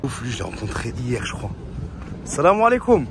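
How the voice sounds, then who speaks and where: A young man, narrating a travel vlog excitedly into a phone held at arm's length. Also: mid-range voice. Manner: excited, fast, chatty. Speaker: vlogger. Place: outdoors and in a large crowded mosque. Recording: loud crowd and street noise.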